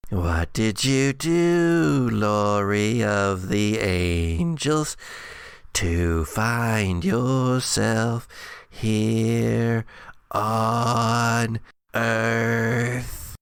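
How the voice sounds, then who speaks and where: A man voicing an ancient, mean monstrous being speaking through a possessed body, big and dark, with slow, grandiose, drawn-out delivery in a tabletop horror game. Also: lowish voice, creaky, clear, resonant tone, dry recording, clean studio sound, heavily acted. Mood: menacing, grandiose.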